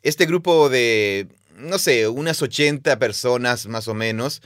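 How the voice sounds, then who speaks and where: A man co-hosting a podcast, telling personal stories about Bible reading in a thoughtful, measured way. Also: medium pitch, a bit bright, no room sound, clean studio sound. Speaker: podcast co-host, Bible teacher. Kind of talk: casual conversation, storytelling.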